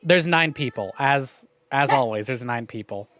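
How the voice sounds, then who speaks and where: A man's voice: mid-range voice, normal speed, fairly bright tone, slight background noise. A man hosting a livestream, giving casual, conversational game commentary straight to his viewers. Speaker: livestream host.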